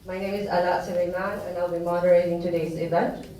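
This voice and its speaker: A woman's voice: lowish voice, flat delivery, fast talker, mellow. A woman from Sudan moderating an event, giving a prepared welcome speech to an audience. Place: event hall at an academy. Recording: echoey room, distant mic, faint noise.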